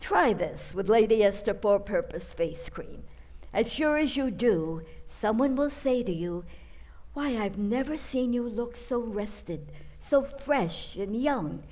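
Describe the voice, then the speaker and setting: A woman reading a scripted commercial in a 1940s radio style, measured and persuasive. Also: on the high side, expressive, a little mellow. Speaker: advertisement announcer.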